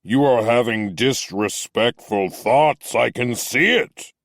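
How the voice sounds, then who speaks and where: A man doing a stern, measured old captain. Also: medium pitch, no room sound, clean studio sound, voice pushed up. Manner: slow, measured, gently admonishing. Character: stern but kindly male captain, middle-aged. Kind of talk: audiobook character voice.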